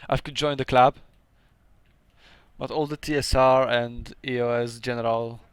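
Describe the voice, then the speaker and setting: A man's voice: mid-range voice, steady tone, a little slow, clean tone, no echo. A young man with a Polish accent casually commentating his own strategy game match, chatty and laughing often, with hesitant second-language phrasing.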